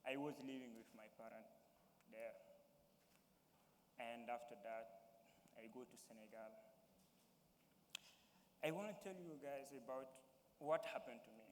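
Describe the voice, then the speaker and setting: A young man from Mali with a Malian accent, giving halting personal testimony as a town hall panelist and searching for words in English. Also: medium pitch. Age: young adult. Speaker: youth panelist. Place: large hall. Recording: reverberant hall with faint noise.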